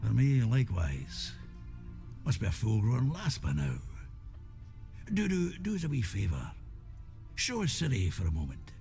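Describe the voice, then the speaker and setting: Gravelly, jovial gang boss. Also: male voice, mid-range voice, huge pitch swings, normal speed, long pauses, dark tone. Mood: wistful, coaxing. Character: gruff, jovial male gang leader. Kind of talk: video game voice acting. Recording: music behind the voice.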